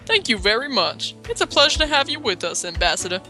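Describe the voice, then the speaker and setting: A woman voicing a loud, abusive male schoolteacher in an anime parody, barking insults like a drill sergeant. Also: on the high side, very animated, quick pace, soft background music. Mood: brusque. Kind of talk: comedic visual novel parody dub.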